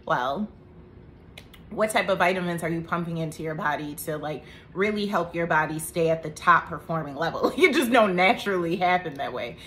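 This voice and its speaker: A woman in her thirties talks to camera about health in a chatty, joking way. Her voice sits a little low. A faint room hum lies under the recording. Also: expressive, moderate pace, fairly bright tone. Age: 30s. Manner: chatty, joking asides, faith talk. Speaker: vlogger. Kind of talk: casual health talk to camera.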